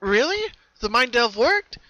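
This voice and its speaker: A performer voicing a caring, sensible young female cartoon unicorn in a gentle, earnest way. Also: mid-range voice, sing-song, measured pace, dry recording, muffled recording, voice pushed up. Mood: relieved.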